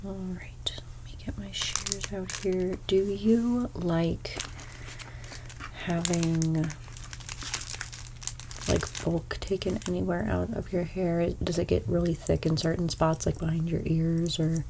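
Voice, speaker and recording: A young woman with a stuffy nose giving an ASMR haircut role play as a hairstylist. She speaks softly, often slips into whispers, and makes gentle salon small talk. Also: on the low side, level tone, takes it slowly, vocal fry, noisy background. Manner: soft-spoken, drifting into whispers.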